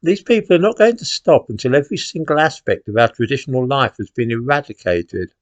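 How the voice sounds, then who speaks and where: A man's voice: mid-range voice, lively intonation, fairly quick, no echo, highs cut off. Englishman with widely swooping intonation. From England. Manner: indignant, sardonic, rhetorical questions. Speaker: commentator, host. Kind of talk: opinion monologue to camera.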